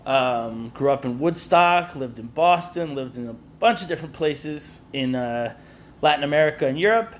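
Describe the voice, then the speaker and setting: A man from New York hosts a relaxed podcast in a slightly raised voice. He rambles and restarts his sentences, with faint background noise. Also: fairly high pitch, moderate pace, highs cut off. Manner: rambling, many fillers and restarts. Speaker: podcast host. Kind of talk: casual conversational podcast.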